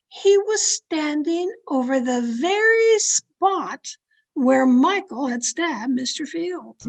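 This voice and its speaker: A woman playing a tense, secretive woman who narrates her own crime in a deliberate, dramatic old-time radio mystery style. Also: sits high, full character voice. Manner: deliberate, dramatic, suspenseful delivery. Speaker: actor in an online radio play performance. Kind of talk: 1940s radio mystery acting, first-person narration. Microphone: video call. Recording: noisy home video-call recording.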